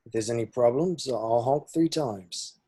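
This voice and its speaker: A man's voice: medium pitch, measured pace, mellow, husky. A man reading a laid-back, crude young slacker sidekick in an amateur film script table read. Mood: casual.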